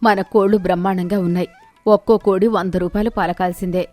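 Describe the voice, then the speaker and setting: An outspoken village wife in a cartoon moral tale, voiced by a woman, medium in pitch. She speaks with feeling and stands up for her household, over a music bed. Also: fairly even intonation, fairly quick, mellow, close to an everyday voice. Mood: indignant. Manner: indignant, emotional.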